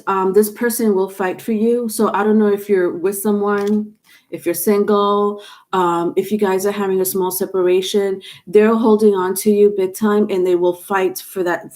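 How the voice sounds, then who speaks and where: A woman's voice: medium pitch, fairly even intonation, moderate pace, a little mellow. A woman with a stuffed-up nose giving a live tarot reading on camera, rambling with many fillers and restarts. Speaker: tarot reader. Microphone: webcam or phone on camera.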